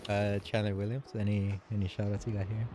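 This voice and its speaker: A man's voice: lowish voice, flat delivery, fairly quick. A man hosting a livestreamed race broadcast, chatting informally and appreciatively as he interviews drivers and wraps up. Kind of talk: casual livestream post-race interview and sign-off.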